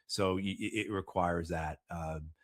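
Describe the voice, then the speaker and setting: A man giving political analysis as an interview guest, in a halting way that repeats words. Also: sits low, steady tone, dry recording, studio quality.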